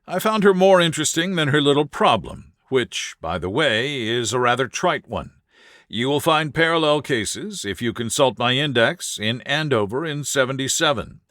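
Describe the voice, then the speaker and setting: A man's voice: medium pitch, a bit bright, dry recording, clean studio sound, natural voice. A man voicing a composed, authoritative male detective with a precise, assured, lecturing manner in an audiobook. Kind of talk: audiobook character dialogue.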